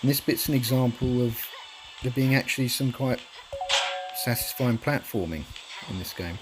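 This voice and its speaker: A man's voice: medium pitch, moderate pace, poor audio. A man casually commentating his speedrun, a little nasal from a cold, rambling with many ums and uhs. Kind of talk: casual live commentary over gameplay. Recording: game music playing behind the voice.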